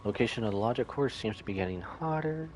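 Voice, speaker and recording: A man's voice: medium pitch, lively intonation, fast talker, background noise. A man giving casual playthrough commentary as a game streamer, reading on-screen text aloud as he goes.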